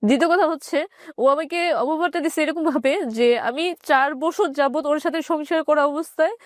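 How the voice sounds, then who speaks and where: A woman with a Bangladeshi accent telling her personal story in an interview, haltingly and with repeated phrases. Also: high-pitched, fairly even intonation, no room sound, studio quality.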